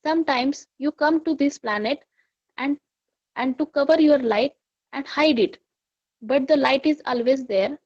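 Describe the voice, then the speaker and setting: A woman reading a guided affirmation in a soft, soothing, deliberate way. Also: high voice, highs cut off. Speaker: course reader.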